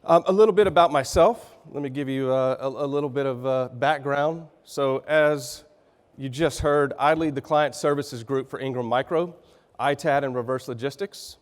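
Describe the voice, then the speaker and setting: A middle-aged man with a Texan accent, slightly raspy from a sinus infection, giving a folksy conference keynote. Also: medium pitch, normal speed. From Texas. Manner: folksy, conversational, with frequent fillers and restarts. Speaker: keynote speaker. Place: large conference hall. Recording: reverberant hall sound.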